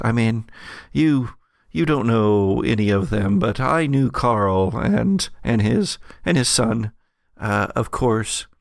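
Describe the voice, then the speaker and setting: A man's voice: mid-range voice, creaky, no room sound, studio quality. A man voicing a flirtatious, nosy woman at a bar in a tabletop game, gushing with drawled endearments.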